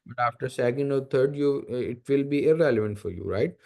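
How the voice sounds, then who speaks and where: Mellow-voiced Pakistani male lecturer. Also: mid-range voice, fairly quick, vocal fry. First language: Urdu. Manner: explanatory, emphatic repetition, rhetorical questions. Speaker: guest university lecturer. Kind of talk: academic lecture. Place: online video meeting.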